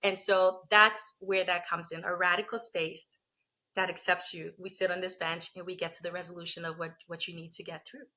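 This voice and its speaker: A woman's voice: lowish voice, level tone, normal speed, pushed and bright. A woman from Liberia, a lawyer and coach, talking through her career as an interview guest in a reflective, explaining way. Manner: reflective, explanatory, frequent "you know" and "right?". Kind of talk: conversational interview.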